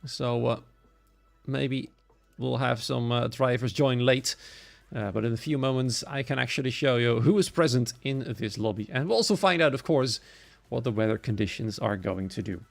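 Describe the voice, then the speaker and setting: A man's voice: mid-range voice, moderate pace, creaky, soft background music. A man from the Netherlands with a Dutch accent, a native Dutch speaker, giving live solo commentary on sim racing.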